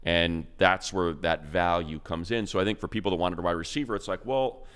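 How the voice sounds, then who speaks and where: A man co-hosting a sports podcast, breaking down a player with quick, enthusiastic stats and slangy praise. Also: low-pitched, fairly bright tone, clean tone. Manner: fast, enthusiastic stat-heavy breakdown. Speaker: radio play-by-play announcer, co-host.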